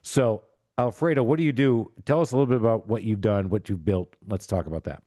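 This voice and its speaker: A man's voice: fairly low pitch, a little fast, warm tone, no echo, studio quality. A middle-aged man hosting a casual interview with jokey banter. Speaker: podcast host.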